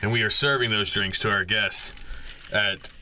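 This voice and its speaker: A man's voice: on the low side, fairly even intonation, moderate pace, bright, forceful tone, slight background noise. A man co-hosting a casual podcast interview, talking in a rambling, chatty way. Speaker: podcast co-host, bartender.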